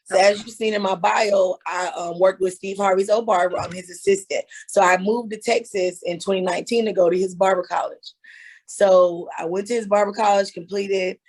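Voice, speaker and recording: A woman's voice: medium pitch, steady tone, moderate pace, darkish tone, rough recording. Candid woman telling her story. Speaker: guest, author and hairstylist. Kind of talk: casual interview.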